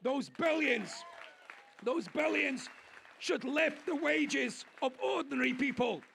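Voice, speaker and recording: A man giving an impassioned political speech at an outdoor rally, declaiming and shouting at the peaks. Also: extremely high pitch, slow, gravelly, some chatter behind, slight background noise. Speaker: rally speaker.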